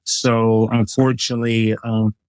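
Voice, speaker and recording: A man's voice: on the low side, little pitch movement, a little slow, darkish tone, dry recording, poor audio. A middle-aged man from Liberia, a chef talking through his food with plenty of examples and fillers. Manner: easygoing, explains with examples, many fillers. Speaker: chef, guest. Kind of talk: casual interview talk.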